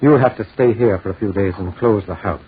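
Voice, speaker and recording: An actor playing a calm, courteous, reassuring doctor in a 1940s radio drama, speaking in a measured, soothing way. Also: male voice, on the low side, slightly rough. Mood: concerned, practical. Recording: tinny, hissy 1940s radio recording.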